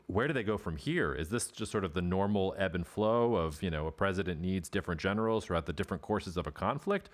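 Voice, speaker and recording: A man hosting a podcast, asking long, earnest questions padded with hedges like "sort of.". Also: deep voice. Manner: earnest, hedging, many "sort of" qualifiers. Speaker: podcast host. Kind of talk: interview questions.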